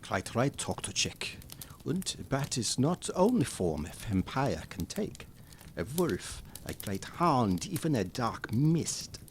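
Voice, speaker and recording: An actor playing a learned, resolute old professor in an audio drama, lecturing in measured, emphatic fashion. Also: male voice, mid-range voice, big pitch swings, slight background noise. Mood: grave, instructive. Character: learned old male professor, grave, resolute vampire expert.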